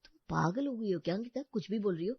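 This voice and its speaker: A woman's voice: medium pitch, lively intonation, fast talker, breathy edge, dry recording. A level-headed young husband in a cartoon story who reasons things out and teases family now and then, put on by a woman narrator. The sound is dull and lo-fi with a dry feel. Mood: earnest. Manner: reasoning, teasing at times.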